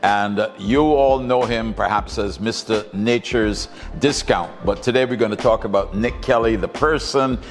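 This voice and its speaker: A man's voice: fairly low pitch, moderate pace, few pauses, in a large hall, soft background music. A man hosting a talk show interview who speaks in an effusive way and often repeats his words.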